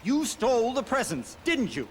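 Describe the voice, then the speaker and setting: High, theatrical skeleton showman. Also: normal speed, heavy background noise, heavily acted. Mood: resolute. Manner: dramatic, grandly expressive. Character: tall, eager, theatrical skeleton showman, good-natured schemer. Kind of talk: video game cartoon voice acting. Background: orchestral game music.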